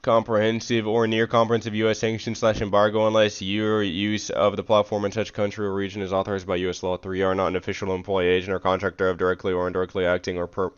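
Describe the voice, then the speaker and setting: A man with a fairly low, smooth and resonant voice streams live as he reads aloud. He stumbles and corrects himself, with a casual, slangy streamer delivery. The recording sounds a little muffled. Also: monotone, fast, hardly any pauses. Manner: slangy casual speech with frequent "bro". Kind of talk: reading a legal document aloud on a livestream, with casual asides.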